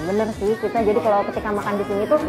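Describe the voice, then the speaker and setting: A woman hosting a casual interview, chatty and upbeat and quick to laugh, recorded in a busy restaurant. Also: mid-range voice, level tone. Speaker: talk show host. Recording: loud music and crowd chatter. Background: music and diners chattering.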